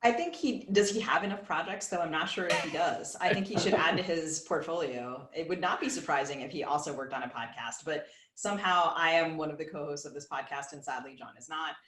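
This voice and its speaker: Low-voiced woman in an echoey room. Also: fairly quick, few pauses, a bit bright, slight background noise. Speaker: podcast co-host, novelist. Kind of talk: podcast hosting, introductions and questions.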